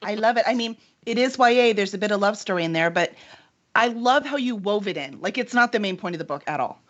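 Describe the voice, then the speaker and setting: A woman's voice: medium pitch, expressive, fast. A woman hosting a casual book livestream as the publisher, talking in an enthusiastic, gushing manner. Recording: slightly dull online stream audio.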